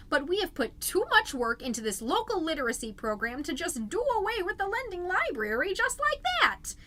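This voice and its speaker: Perky suburban mom podcast host, played by a woman, very high bright voice, chatty and fretful, scripted comedy. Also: full character voice. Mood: defensive, determined. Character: fretful, chipper suburban mom hosting a neighborhood newscast.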